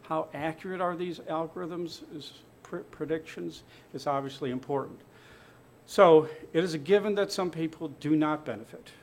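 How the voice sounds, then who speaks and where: Guy giving a talk at a medical panel, lots of ums, a bit of background hum. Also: on the high side. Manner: halting, many fillers and restarts. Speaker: panel presenter.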